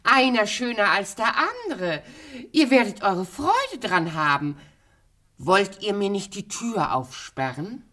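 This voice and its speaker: Slow, swooping, scheming evil queen. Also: mid-range voice, very animated, a bit bright, heavily acted. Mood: coaxing, sly. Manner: theatrical, wheedling and imperious. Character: vain, cruel queen, at times posing as a coaxing pedlar woman. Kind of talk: radio play acting.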